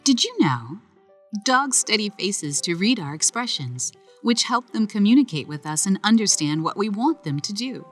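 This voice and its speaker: A woman reading a children's story aloud in a slow, gentle storytelling manner. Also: medium pitch, big pitch swings, faint music behind. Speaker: narrator. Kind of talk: children's read-aloud narration.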